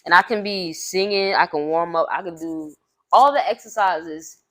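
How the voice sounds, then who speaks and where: A woman's voice: on the low side, moderate pace. A woman with a light voice, a singer talking loosely with many fillers as a podcast guest. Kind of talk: casual conversation.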